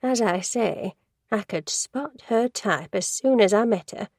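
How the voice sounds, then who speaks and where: A woman's voice: medium pitch, animated, a little slow, a little mellow, no echo, clean studio sound. A woman voicing a gossipy, judgmental older woman who works in an office, rambling and easily sidetracked in a storybook reading. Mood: indignant.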